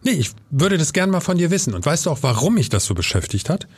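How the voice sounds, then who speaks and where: A man's voice: on the high side, sing-song, quick pace, slightly rough. A man hosting a podcast, speaking in a conversational moderating style. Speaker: podcast host.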